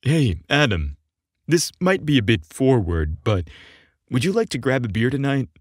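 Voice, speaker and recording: A friendly, slightly hesitant young man performed by a male audiobook narrator speaks with casual wryness. His intonation moves a lot at an easy, slow pace. The recording is dry. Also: medium pitch, big pitch swings, breathy edge, dry recording. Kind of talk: audiobook character dialogue.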